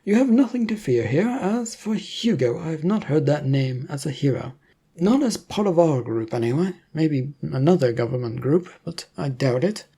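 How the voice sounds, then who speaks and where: Male agency chief for storybook adventure, played by a narrator: courteous, controlled, formal, slightly husky. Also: medium pitch, animated, mellow. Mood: reassuring. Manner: polite, measured, formal. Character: composed, formal male secret agency boss.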